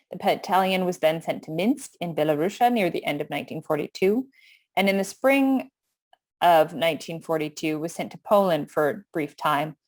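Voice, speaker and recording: A woman with a Canadian accent reads out a prepared history talk in a slightly low voice. Her delivery is steady and measured, with the odd small stumble over a word. She sounds like a lecturer working through her notes. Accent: Canadian English. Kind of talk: prepared lecture read aloud.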